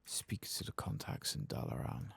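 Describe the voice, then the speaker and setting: A man giving soft-spoken ASMR commentary on a video game, in a breathy voice that often drops to a whisper. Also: moderate pace.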